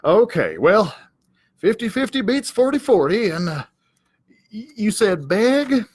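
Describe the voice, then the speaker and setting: Male reader as self-important cowdog in a children's tale; blustery, halting, very animated pitch, dry sound. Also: husky, dry recording, full character voice. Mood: flustered, hesitant. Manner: blustering, hesitant, stammering, pompous. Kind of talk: children's storybook character voice.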